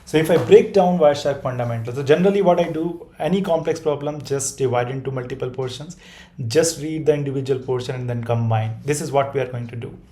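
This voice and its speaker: A man whose first language is Hindi runs an online class over a computer mic on a video call. His voice is mellow and dark, at a middling pitch. He keeps checking in with "right?" and often restarts his sentences. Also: quick pace, slight background noise. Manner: repeats "right?" and restarts often, talking through a whiteboard diagram. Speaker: course instructor. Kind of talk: online webinar lesson with a sales pitch.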